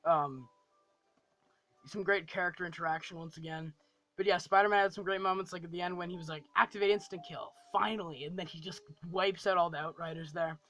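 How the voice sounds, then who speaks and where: A man's voice: high voice, fairly even intonation, a little fast, sharp tone, low-quality recording. A young man giving a casual, enthusiastic video review, gushing and rambling and repeating phrases for emphasis. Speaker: film review vlogger.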